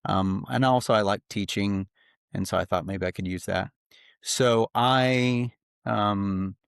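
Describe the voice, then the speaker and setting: A man's voice: low-pitched, gravelly, smooth, no room sound, studio quality. A man, a podcast guest talking earnestly in his home office, with many fillers. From grew up in Slovakia. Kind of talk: casual interview talk.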